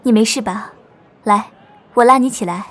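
A woman playing a kind young martial arts wanderer in a Chinese audio drama, gentle and solicitous. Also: fairly high pitch, normal speed. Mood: gentle concern.

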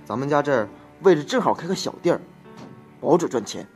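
Man acting an offhand, animated working-class husband. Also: a little high, quick pace. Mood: earnest, persuasive. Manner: offhand, dryly teasing. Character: laid-back, teasing working-class husband with plans. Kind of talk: radio drama acting. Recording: amateur radio drama over loud music.

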